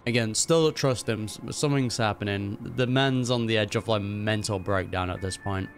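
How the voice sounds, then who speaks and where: A man's voice: on the low side, normal speed, soft background music. A young man giving casual, laid-back reaction commentary with slangy asides.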